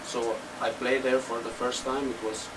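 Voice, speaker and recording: Recorded at a distance under loud background noise, a young man from Slovenia speaks English with a Slovenian accent. He gives casual interview answers, choosing simple words and halting as he goes. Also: mid-range voice, level tone. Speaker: interview guest, techno DJ and producer.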